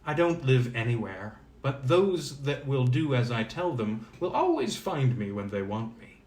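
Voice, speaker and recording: A man voicing a magical old wise woman from a storybook, brisk, cryptic and commanding. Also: medium pitch, sing-song, darkish tone. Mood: cryptic, amused. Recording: roomy, echoing sound.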